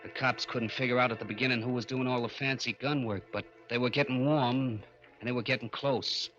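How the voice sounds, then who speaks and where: A man's voice: mid-range voice, fairly even intonation, a little fast, fairly bright tone, lo-fi sound, natural voice. An American actor playing a tough, brooding gangster trigger man telling his story in an old-time radio crime drama. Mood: brooding, cocky. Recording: old radio broadcast with music behind.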